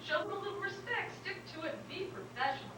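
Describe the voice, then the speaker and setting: A woman's voice: very high-pitched, big pitch swings, fast talker. A woman in a staged reading playing a no-nonsense, professional club comic who corrects others frankly. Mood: firm. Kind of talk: staged reading of a comedy script. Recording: echoey room, distant mic, clear noise.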